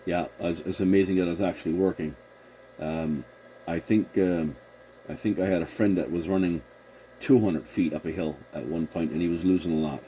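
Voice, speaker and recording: A man's voice: low-pitched, fairly even intonation, normal speed, dark tone. A man chatting casually as a CB radio operator, agreeable and a little hesitant, with a noisy recording. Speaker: CB radio operator hosting the contact.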